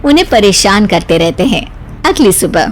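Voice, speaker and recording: A woman's voice: mid-range voice, sing-song, normal speed, a little hiss or hum. A woman narrator reading a scripted comic cartoon story as storytelling.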